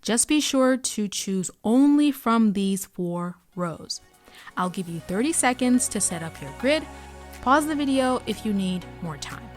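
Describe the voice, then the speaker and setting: A woman's voice: fairly high pitch, lively intonation, unhurried, dry recording, faint music behind. A woman giving a scripted language lesson as a tutor, guiding pronunciation practice with carefully enunciated examples. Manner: clear instructional delivery, carefully enunciating example sounds.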